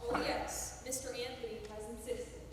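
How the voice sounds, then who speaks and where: An actress on stage playing a no-nonsense housekeeper who speaks bluntly and doubtfully. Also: female voice, a little high, fairly even intonation, normal speed, close to an everyday voice. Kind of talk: amateur stage acting. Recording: echoey hall from far off, noisy.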